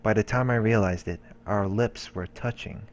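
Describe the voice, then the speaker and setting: Lowish-voiced man reading game narration. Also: moderate pace. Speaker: let's play host.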